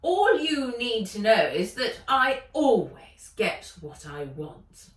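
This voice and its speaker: An aged villainess from a children's storybook, acted out by a woman reading aloud, imperious and menacing. She insists on getting her way with swooping, unhurried delivery. The room echoes around the voice. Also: a little high, sing-song, voice pushed up. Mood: gloating. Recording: roomy echo, distant mic.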